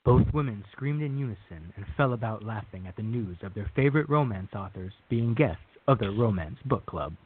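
A man with a husky voice reading scripted narration for a radio drama. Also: on the low side, animated, brisk, hardly any pauses. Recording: thin phone-like band.

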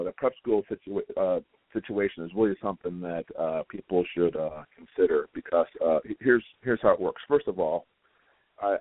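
A hoarse man calling in as a guest on a radio show, talking haltingly with a lot of fillers. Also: low-pitched, no echo. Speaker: prep school guest. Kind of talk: phone interview. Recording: dry, tinny phone line.

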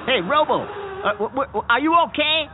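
A stammering, breathless bean who runs a garage, played by a man, talks in a folksy, ungrammatical way. His voice sits high and swings wildly. The sound is thin and phone-like, with a faint hiss. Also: expressive, moderate pace. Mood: indignant. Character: frantic male bean garage boss, just abducted. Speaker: garage owner.

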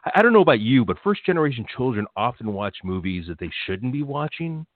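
A man's voice: medium pitch, sing-song, quick pace, no echo. A man interviewing a guest in a casual, rambling way, restarting his questions often. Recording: tinny phone-like line.

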